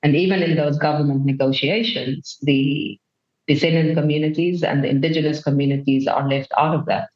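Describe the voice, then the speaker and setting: A woman's voice: sits low, fairly even intonation, a little fast. A woman from Namibia, giving earnest, thoughtful answers as an interview guest. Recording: roomy, dull remote recording.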